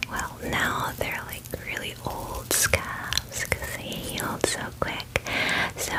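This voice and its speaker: A woman voicing a friendly, bubbly young dragon in a gentle ASMR roleplay, whispering softly and chattily, close to the microphone. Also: natural voice, low-quality recording. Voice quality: soft, breathy whisper. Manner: gentle, chatty, unhurried, affectionate. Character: friendly, bubbly young female dragon pampering a human guest. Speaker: ASMR performer. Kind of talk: ASMR fantasy roleplay, whispered personal attention.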